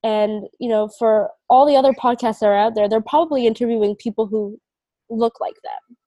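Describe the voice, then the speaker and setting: A woman's voice: fairly high pitch, brisk, warm tone, no room sound, dull audio. A woman podcast host interviewing a guest, often affirming her with short interjections. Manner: frequent affirming "mm-hmm" and "yeah". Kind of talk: casual interview conversation.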